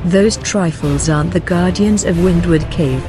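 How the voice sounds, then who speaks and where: A woman's voice: on the low side, unhurried, lightly acted. A woman voicing a knowing, unruffled guardian of a pirate cove in a motion comic, with an admonishing manner. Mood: ominous, admonishing. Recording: music clearly under the voice.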